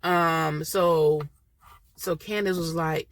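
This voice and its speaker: A woman's voice: low-pitched, fairly even intonation, takes it slowly, dry recording. A woman with a stuffy nose from a cold, chatting casually and laughing often while reviewing a reality TV episode. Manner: chatty, laughs often, loose slangy talk. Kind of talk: casual chat.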